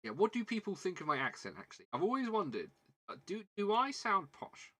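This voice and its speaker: Bright-voiced southern British man chatting casually. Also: sits high, wildly swooping pitch, fairly quick. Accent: southern British English. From southern England. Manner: rambling, self-deprecating, repeats words and phrases. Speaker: livestream host. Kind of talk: casual livestream chat while building a model kit.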